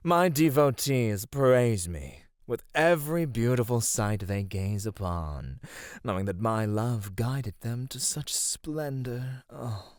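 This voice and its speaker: A man's voice: medium pitch, animated, slow pace, clean tone, no room sound, clean studio sound. A man voicing a flirty, vain male god of divine radiance in an ASMR roleplay, speaking in a teasing, affectionate way. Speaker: voice actor.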